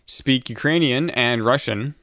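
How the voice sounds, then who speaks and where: A man's voice: medium pitch, a little slow, pushed and bright, no room sound. A man co-hosting a casual chat podcast about a TV episode, reading notes aloud with stumbles and restarts. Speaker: podcast co-host.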